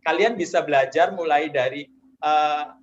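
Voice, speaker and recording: A man speaking as a guest voice actor in an interview, explaining at length with many pauses and fillers, on dull lo-fi audio. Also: on the high side. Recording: dull lo-fi online audio, faint noise.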